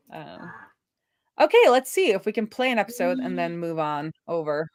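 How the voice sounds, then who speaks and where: A woman's voice: on the low side, big pitch swings, a little slow, no echo. A woman doing casual live coding commentary as a streaming developer, thinking aloud as she goes.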